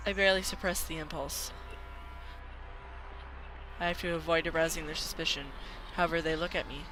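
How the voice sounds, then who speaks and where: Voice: mid-range voice, fairly even intonation, fairly quick, background noise. An amateur video game player reading a visual novel aloud dramatically, sometimes stumbling and repeating words.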